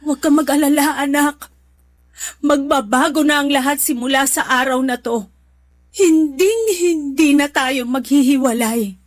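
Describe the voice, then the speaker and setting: Tearful high-voiced remorseful mother. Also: a little slow, dry recording. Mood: protective. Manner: tearful, pleading, emotional delivery. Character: remorseful, protective mother of two sons. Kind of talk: radio drama acting. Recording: faint music bed behind the voice.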